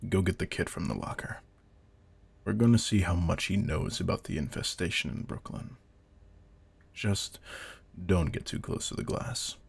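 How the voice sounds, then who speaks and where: Guy doing a grizzled vampire hunter mentor, audio roleplay, gravelly raspy voice, intense, deliberate, quietly menacing. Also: deep voice, heavily acted. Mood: cautioning. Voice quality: gravelly, husky. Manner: deliberate, commanding, menacing understatement. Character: veteran male vampire hunter, controlled, intense, secretive mentor. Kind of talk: scripted roleplay audio drama.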